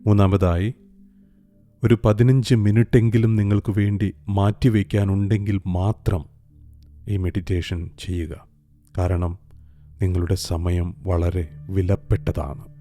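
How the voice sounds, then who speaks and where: Very low, slow, soothing male guide. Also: faint music behind. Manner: slow, soft, calm instructions with long pauses. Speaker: meditation guide. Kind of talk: guided meditation narration.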